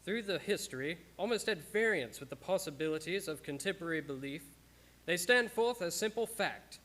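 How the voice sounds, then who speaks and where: A man playing a solemn gentleman witness who formally declaims his evidence in a radio play. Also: fairly high pitch, expressive, normal speed. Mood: solemn, insistent. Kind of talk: dramatic radio-play narration.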